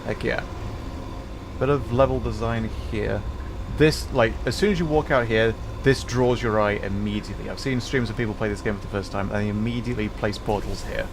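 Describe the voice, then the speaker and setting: A man's voice: lowish voice, fairly quick, gravelly. A man livestreaming a video game, giving casual, rambling commentary with hesitant, amused asides. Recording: noisy stream with game ambience.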